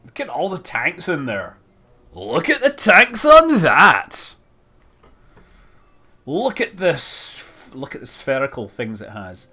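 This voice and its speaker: A man's voice: fairly high pitch, wildly swooping pitch, slow pace, pauses often, bright, forceful tone. A man giving casual live stream commentary as he plays, rambling, thinking aloud and exclaiming over details. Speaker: streamer playing a space building game.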